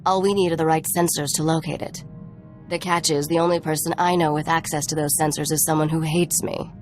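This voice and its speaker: A woman's voice: low voice, little pitch movement, a little fast, soft background music, close to an everyday voice. An actress playing a tough, haughty woman smuggler in a video game, dry, sarcastic and self-assured.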